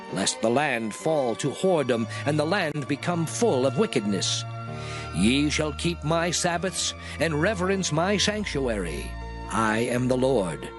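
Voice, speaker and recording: A commanding, dramatically inflected God of scripture. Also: male voice, fairly high pitch. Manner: solemn, declamatory, measured. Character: God of scripture, solemn male lawgiver issuing commandments.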